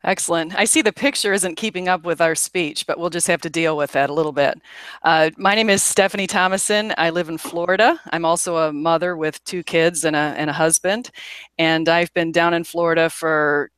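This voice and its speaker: American woman host, smooth clear voice, dry close sound, steers a panel chat. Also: on the low side, steady tone, fairly quick, a bit bright, no echo. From United States. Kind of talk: hosting a casual chat.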